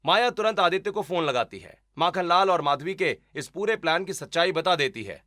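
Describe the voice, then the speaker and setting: A man reading scripted story narration in an expressive, deliberate, dramatic way. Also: on the high side, dry recording, clean studio sound.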